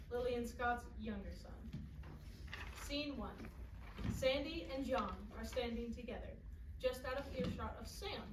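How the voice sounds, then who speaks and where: A woman reading stage directions as scripted narration at a staged play reading. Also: on the high side. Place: indoor performance room, distant mic. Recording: echoey distant theater recording, noisy.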